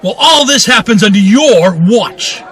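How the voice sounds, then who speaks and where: A man acting a high-voiced, grandiose vengeful duke. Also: lively intonation, moderate pace, a bit bright, a faint crowd. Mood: accusing. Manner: declamatory, grandiose, righteous oratory. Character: proud, vengeful returning duke claiming a throne. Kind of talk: radio drama monologue in a fantasy audio play.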